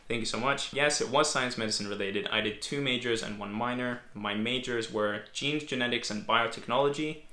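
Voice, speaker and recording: A man's voice: mid-range voice, a little fast, few pauses, sharp tone. A young man in his twenties, a medical student, chatting casually through viewer questions at a desk in a small room. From Greece. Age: 20s. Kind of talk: casual Q&A vlog. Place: small bedroom home office, indoors. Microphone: fixed camera at desk. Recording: echoey room.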